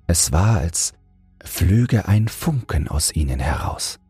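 A man narrating a bedtime fairy tale in a gentle, measured, soothing manner. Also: low voice, very animated, slightly rough, dry recording, faint music behind. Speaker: audiobook narrator and podcast host.

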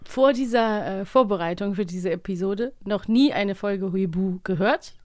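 A woman's voice: mid-range voice, normal speed, darkish tone, no echo. Woman chatting casually, dry close sound. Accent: German. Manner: informal, chatty. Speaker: podcast host. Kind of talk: casual co-hosted chat about children's audio dramas.

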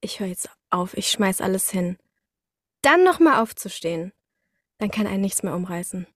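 A young woman chatting casually as a podcast guest, telling things in an expressive, anecdotal way. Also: medium pitch, moderate pace, no echo, clean studio sound. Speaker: interview guest, voice actor.

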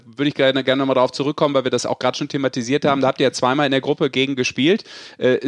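A man's voice: medium pitch, dry recording. Joking male podcast host, dry sound. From Düsseldorf. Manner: rambling questions with fillers, joking. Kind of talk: casual podcast interview.

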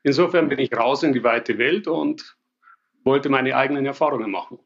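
Relaxed Bavarian man, slightly lo-fi. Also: mid-range voice, normal speed. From Bavaria, Germany. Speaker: interview guest, business executive. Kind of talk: casual interview answers.

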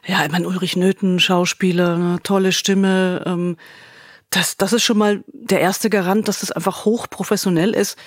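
A woman's voice: lowish voice, steady tone, normal speed, no echo, studio quality. A woman co-hosting a podcast in a casual, chatty, effusive way, repeating words for emphasis, with the ease of a radio veteran.

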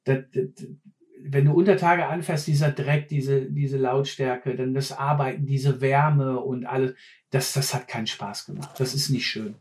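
A man's voice: mid-range voice, steady tone, unhurried, darkish tone. A man, a former mine fitter talking bluntly and casually, often repeating words while he searches for them. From Ruhr area, Germany. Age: 50s. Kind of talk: casual conversation.

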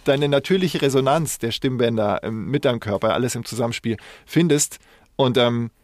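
A man speaks at a medium pitch in a dry, close recording. He explains things conversationally, like a presenter chatting on a podcast, pausing and rephrasing along the way. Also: no room sound. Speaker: podcast co-host and professional news presenter.

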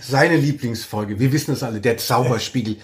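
A man's voice: medium pitch, fairly quick. A man interviewing a guest in casual podcast chat, with a teasing, joking manner.

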